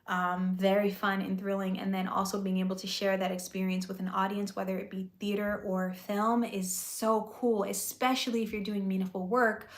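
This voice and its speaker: A woman's voice: medium pitch, little pitch movement, normal speed, few pauses. Chatty woman live-streaming in an echoey room. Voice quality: soothing voice. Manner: chatty, full of "like" and "you know". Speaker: vlogger and aspiring actress. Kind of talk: live-stream chat with viewers.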